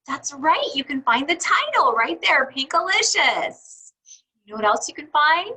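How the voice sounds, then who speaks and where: Bright, high-pitched woman reading to young children. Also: a little slow, low-quality recording. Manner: teacherly, asks questions and answers them; exaggerated, expressive child-directed delivery. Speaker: read-aloud storyteller for young children. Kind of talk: picture book read-aloud with questions to young listeners.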